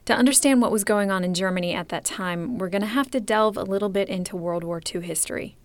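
A woman hosting a podcast, reading scripted documentary narration in a clear, measured storytelling manner. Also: medium pitch, no echo. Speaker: podcast host.

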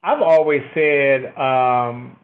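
Booming middle-aged man on a phone-like line. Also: very slow. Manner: reflective, often checks with "right?". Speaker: military officer, podcast guest. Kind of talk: conversational interview answers. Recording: thin, phone-like band-limited line.

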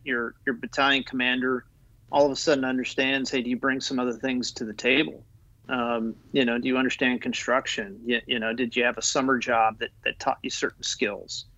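A man's voice: medium pitch, sharp tone, no echo, highs cut off. A man talking as an Army guest on a podcast, eager and loose, with many fillers and restarts. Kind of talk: conversational podcast interview.

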